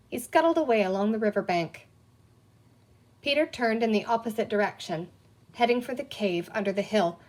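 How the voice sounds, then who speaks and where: Higher-pitched woman reading a children's story. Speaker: audiobook reader. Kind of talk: expressive scripted children's story narration.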